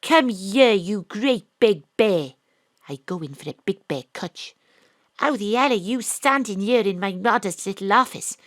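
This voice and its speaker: A woman's voice: mid-range voice, lively intonation, unhurried, no echo, clean studio sound, lightly acted. A woman voicing a brash private eye who was once a Welsh rugby star, narrating her own noir story with a wry tone. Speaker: narrator and detective. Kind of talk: noir audio drama narration. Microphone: home studio mic.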